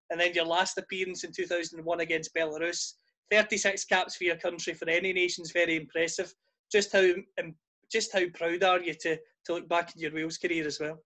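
A male host runs a friendly sports interview and keeps the tone upbeat. His voice is pitched notably high for a man. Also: a bit bright. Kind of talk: conversational interview questions.

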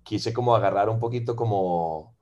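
A Venezuelan-born man in his thirties with a Costa Rican accent, chatting casually as a podcast guest and filling pauses with "ma" and "eh". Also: on the low side, highs cut off. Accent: Costa Rican Spanish. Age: 30s. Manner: relaxed, fills with "ma" and "eh". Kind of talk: casual interview chat.

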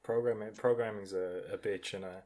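A young man, an engineering student, chatting candidly and telling animated stories with casual swearing. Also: on the low side, a little fast. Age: young adult. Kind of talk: casual podcast chat.

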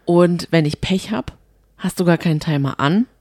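A woman's voice: lowish voice, normal speed, a little mellow, dry recording, clean studio sound. A woman co-hosting a podcast, chatting casually in a teasing, playful way. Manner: teasing and playful, laughs often. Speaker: podcast co-host. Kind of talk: casual chat.

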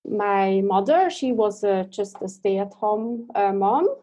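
Swiss lady, mellow warm voice, kinda hesitant, hunting for english words. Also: medium pitch, takes it slowly. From Switzerland. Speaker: guest. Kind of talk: casual interview chat.

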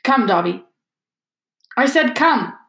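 A woman's voice: on the high side, animated, takes it slowly, creaky, fairly bright tone, roomy sound, full character voice. A woman voicing a haughty, menacing male wizard villain with a sneering, commanding delivery.

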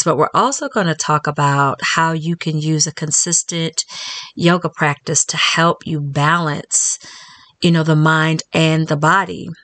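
A woman in her thirties hosting a solo wellness podcast, talking earnestly and reflectively, slowing down for spoken affirmations. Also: sits low, fairly bright tone. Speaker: podcast host.

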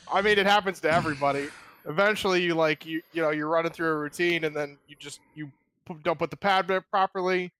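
A man's voice: fairly high pitch, brisk, sharp tone. A man co-hosting a podcast, telling a rambling story and laughing often. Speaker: podcast co-host. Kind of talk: casual chat.

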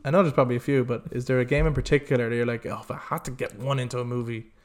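A man's voice: medium pitch, fairly even intonation, very fast. A man co-hosting a podcast in casual, jokey banter, acting out imagined lines as he makes his points. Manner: jokey, acts out hypothetical quotes. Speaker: podcast co-host. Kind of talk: casual animated banter about games.

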